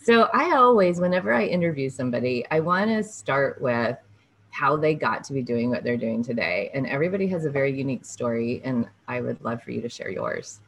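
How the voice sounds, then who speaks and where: Very low-voiced woman interview host. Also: animated, a little fast, rough recording. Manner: warm, welcoming, laughs easily. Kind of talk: interview podcast hosting.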